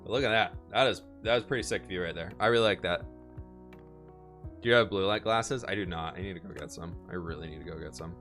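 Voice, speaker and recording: A man streaming a building game, upbeat and chatty, his voice a little hoarse, answering questions from chat. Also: low voice, fast talker, fairly bright tone, faint music behind. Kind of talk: casual live-stream chat while building.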